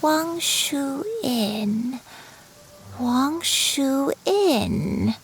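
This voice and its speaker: A woman voicing a gentle, forgetful elderly grandmother in a video game, serene and drifting as she savors each phrase. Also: on the high side, lively intonation, takes it slowly, slight background noise. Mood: dreamy recollection. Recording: music under the voice.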